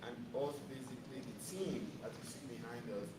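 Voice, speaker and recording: A hoarse man who has lost his voice, a camp organizer, speaking earnestly to parents and often adding "you know". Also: mid-range voice, fairly quick. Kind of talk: speech to an audience. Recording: echoey room, distant mic, noisy.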